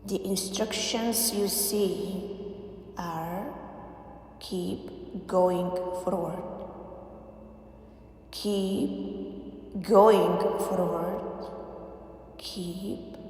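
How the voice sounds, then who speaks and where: A woman leading a guided meditation, speaking in deliberate phrases with frequent pauses. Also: mid-range voice, steady tone, a little mellow. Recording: very echoey, long reverb, faint music. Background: faint background music.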